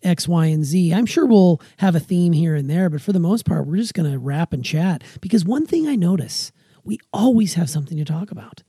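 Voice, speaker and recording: A woman co-hosts a casual family podcast and talks fast. Her voice is low, very dark and husky, recorded close and dry. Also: animated, no echo. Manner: fast-talking. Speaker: podcast co-host, parent.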